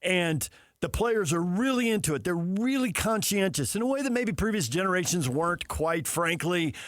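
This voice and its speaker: A man's voice: sits high, expressive, normal speed, fairly bright tone, no echo. Insistent male sports host, close and dry. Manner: insistent, argumentative. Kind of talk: lively debate on sports talk radio.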